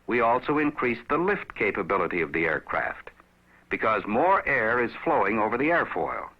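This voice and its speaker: A man narrates a scripted educational film in a measured, instructive manner, addressing the viewer directly. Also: mid-range voice. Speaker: narrator of a pilot training film. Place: recorded voice-over. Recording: dull, aged film soundtrack.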